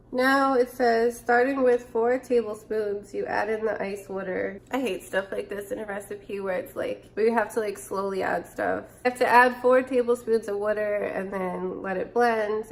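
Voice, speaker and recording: A woman's voice: medium pitch, vocal fry, slight background noise. A woman in her twenties with a stuffy, nasal voice from a cold, chatting casually and thinking aloud as she cooks in her home kitchen. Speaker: cooking vlogger. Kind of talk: casual cooking vlog. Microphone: camera or phone close up.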